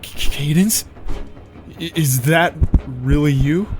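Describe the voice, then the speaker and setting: Man as a high-voiced paranoid pony prince. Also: takes it slowly. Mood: suspicious. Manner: suspicious, overwrought, dramatic. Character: paranoid, frightened, defiant pony prince husband. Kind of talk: animated fan drama acting. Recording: music under the voice.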